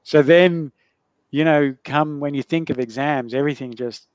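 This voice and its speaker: A man's voice: fairly high pitch, normal speed, no echo, dull audio. A middle-aged man tells his life story in a loose, rambling way, full of fillers and restarts. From Netherlands. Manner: rambling, many fillers and restarts, laughs between remarks. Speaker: guest sharing his health story. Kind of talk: casual conversational storytelling.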